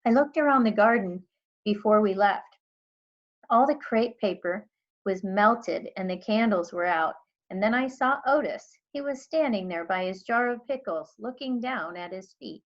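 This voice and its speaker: A woman teacher reading a children's storybook aloud in expressive narration. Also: mid-range voice, unhurried, dull audio.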